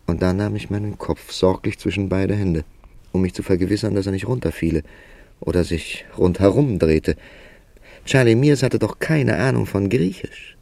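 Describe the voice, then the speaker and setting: A scheming writer telling his tale, german radio play, real low gravelly voice, literary and deliberate. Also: darkish tone, no room sound. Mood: bewildered. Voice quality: creaky, gravelly. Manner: deliberate, literary, dramatic storytelling. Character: thoughtful, calculating male writer narrating his own story.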